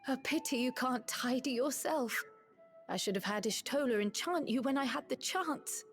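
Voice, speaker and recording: A woman playing a brisk, whimsical scholar in a fantasy video game, with slightly quaint phrasing. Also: high-pitched, expressive, soft background music. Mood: musing.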